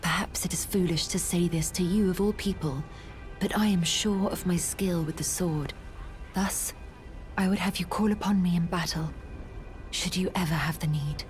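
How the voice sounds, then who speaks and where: An actress playing an earnest, stoic young swordswoman in a fantasy game, formal and measured, with breathy phrase endings. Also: on the low side, steady tone, soft background music, close to an everyday voice. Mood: earnest, resolute. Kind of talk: dramatic fantasy game acting.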